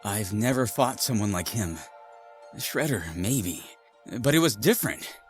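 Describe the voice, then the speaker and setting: A man's voice: fairly low pitch, very animated, measured pace, soft background music. A man voicing a serious young ninja turtle leader who speaks earnestly and with command.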